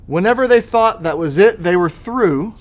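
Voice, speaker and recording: A man reading to children as a storybook narrator, expressive and sing-song with the rhymes. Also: high-pitched, moderate pace. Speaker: school superintendent reading to children.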